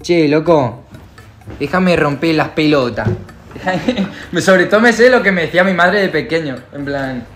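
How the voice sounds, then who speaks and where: A man's voice: a little high, animated, measured pace, fairly bright tone. A young man with a Spanish accent from Spain, talking to his phone camera in a laid-back, slangy way. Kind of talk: casual social media video. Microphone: phone camera close up. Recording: phone clip with background music.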